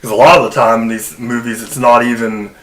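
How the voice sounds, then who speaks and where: Casual, blunt man with medium pitch. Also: steady tone, brisk, rough recording. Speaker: podcast co-host. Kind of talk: casual film chat.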